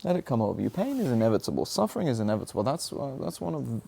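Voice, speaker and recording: Young guy talking earnest and reflective, kinda gravelly voice, warm and low-key. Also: very animated, very fast. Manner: earnest, searching, self-questioning. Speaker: speaker sharing personal reflections. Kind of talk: reflective motivational monologue.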